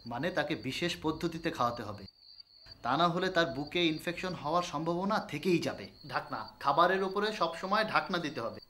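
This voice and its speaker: Village doctor, male actor, bright higher voice; patient, reassuring, explains in simple steps for an educational film. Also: slight background noise. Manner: explains simply, repeats phrases. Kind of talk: acted educational film drama.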